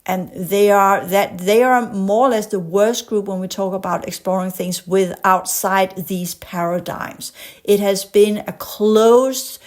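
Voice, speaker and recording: A woman's voice: medium pitch, steady tone, measured pace. A woman from Denmark with a Danish accent, a podcast host who reads an article aloud and breaks off into impassioned commentary, sometimes stumbling over long words. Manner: passionate, digresses into rants.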